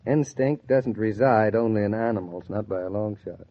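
An actor playing an affectionate young American husband in a 1940s radio drama. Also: male voice, lowish voice, normal speed, close to an everyday voice. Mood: tender, assured. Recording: muffled 1940s radio transcription.

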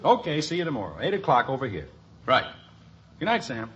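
Highly animated sitcom dad. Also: male voice, fairly quick. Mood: chatty. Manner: animated, wry. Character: wisecracking, easily exasperated middle-class husband and father. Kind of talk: 1950s radio sitcom acting. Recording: noisy, muffled old radio broadcast.

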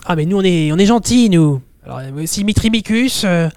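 Man playing a high-pitched, stammering, touchy elf. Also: expressive, darkish tone, smooth, dry recording. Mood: touchy, indignant. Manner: halting, stammering, repeating words. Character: whiny, touchy elf adventurer longing to become a dwarf. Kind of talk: improvised fantasy audio sketch.

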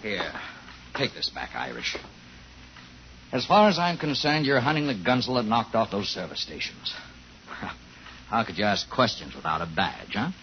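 Muffled, faintly noisy old radio recording. a by-the-book police boss, low in pitch with big swings of intonation. He is matter-of-fact and weary, pausing as he goes, yet loyal underneath. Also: very animated. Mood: pleading, relenting. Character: weary, loyal male police superior, torn but by-the-book. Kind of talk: 1950s radio thriller acting.

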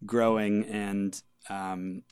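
A male musician speaking in an informal interview. His voice sits a little low and sounds smooth and clear, with a conversational delivery. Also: level tone, extremely slow, darkish tone. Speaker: band member being interviewed. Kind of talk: casual chat.

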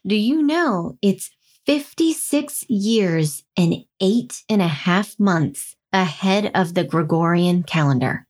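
A woman's voice: medium pitch, lively intonation, takes it slowly, a little mellow. A woman co-hosting a podcast in a casual, conversational style. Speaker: podcast co-host. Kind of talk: casual chat and reading listener mail.

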